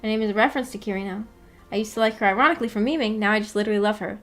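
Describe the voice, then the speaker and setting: A woman hosting a casual livestream, chatting with viewers in a giggly, playfully teasing and apologetic way, rambling and halting as she goes. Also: medium pitch, a bit bright, soft background music. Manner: rambling, halting, filler-heavy talk with frequent "like". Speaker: livestream host. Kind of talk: casual livestream chat with viewers about anime.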